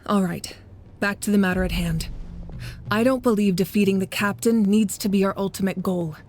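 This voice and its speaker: A woman playing a resolute young female leader in an anime game, speaking in a measured, authoritative way. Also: medium pitch, a little mellow, a little hiss or hum, lightly acted. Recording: music under the voice. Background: game music.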